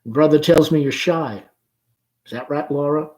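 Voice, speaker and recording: Man acting a breezy, gallant young gentleman. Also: on the high side, moderate pace, natural voice. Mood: confident, easygoing. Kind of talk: play read-aloud.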